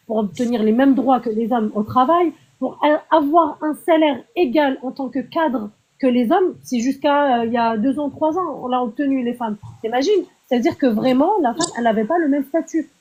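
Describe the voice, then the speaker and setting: A woman's voice: sits high, a little fast, hardly any pauses, darkish tone, rough recording. A woman debating in an online live stream, earnest and insistent, often stumbling and repeating words as she makes her point. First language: Arabic. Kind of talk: heated casual debate. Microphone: online live stream call.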